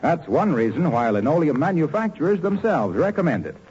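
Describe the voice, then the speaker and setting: Male announcer for 1940s radio, polished and cheery, lively intonation, muffled vintage sound with faint noise. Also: on the high side, fairly quick. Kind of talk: 1940s radio announcing, scripted sponsor reading. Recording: dull, faintly noisy old radio recording.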